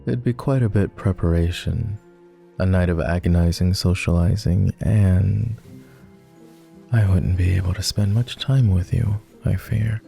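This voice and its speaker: A man playing a doting, refined vampire gentleman in a romantic roleplay ASMR, with formal, courtly and gently teasing speech. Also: low voice, measured pace, gravelly, faint music behind. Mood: fond, measured.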